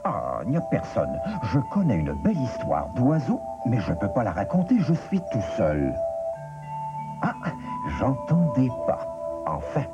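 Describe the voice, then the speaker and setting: A kindly talking mirror. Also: male voice, wildly swooping pitch, measured pace, lo-fi sound, over loud music. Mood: lonely. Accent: Quebec French. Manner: gentle, coaxing, swooping pitch. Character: talking storytelling mirror, kindly, fond of bird tales.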